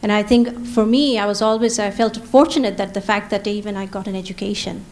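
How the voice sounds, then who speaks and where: A woman's voice: medium pitch, little pitch movement, a little fast, a little mellow. A woman in her forties from Bangladesh, speaking on a panel as a social entrepreneur, earnest and emphatic, repeating her key phrases. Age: 40s. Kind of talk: panel talk.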